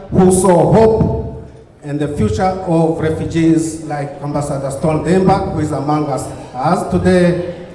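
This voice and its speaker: A man with a Ugandan accent delivers an earnest keynote, his voice slightly high and mellow. He stacks up repeated phrases as he speaks. The sound rings through a big hall with a long, echoing tail. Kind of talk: gala speech. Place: banquet hall. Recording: echoey hall with long reverb.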